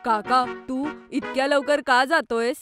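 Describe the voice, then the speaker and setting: A woman's voice: high-pitched, fairly even intonation, measured pace. A woman voicing a curious, polite young boy in a children's cartoon. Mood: curious, worried. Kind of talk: cartoon voice acting. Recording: music under the voice.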